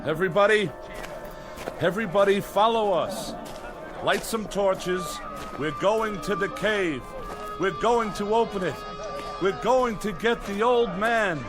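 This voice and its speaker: An actor playing an earnest, desperate villager, speaking with plain, urgent intensity in 1960s television drama style. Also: male voice, high voice, unhurried, pauses often. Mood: rallying. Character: earnest, desperate hungry villager rallying his people. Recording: old television soundtrack with loud music.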